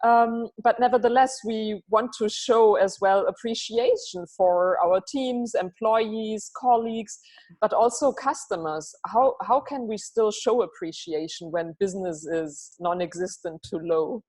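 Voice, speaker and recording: A woman with a German accent, a non-native English speaker, hosting a video-call interview with halting phrasing and many fillers. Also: medium pitch, a little mellow. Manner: hesitant, many fillers, backchannels "mm-hmm". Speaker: interviewer host.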